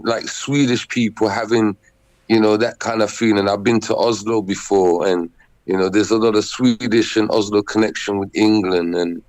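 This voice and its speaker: Laid-back man, low voice. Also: no room sound, rough recording. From Netherlands. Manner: laid-back, repetitive, shouts out greetings. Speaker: musician guest. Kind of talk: casual interview chat.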